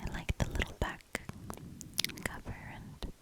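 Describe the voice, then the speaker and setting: Whispering woman, slow ASMR reading. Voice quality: breathy, unvoiced whisper. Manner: slow, gentle, hushed delivery. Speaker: ASMR creator reading a storybook aloud. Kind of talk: whispered ASMR storybook reading and soft casual commentary.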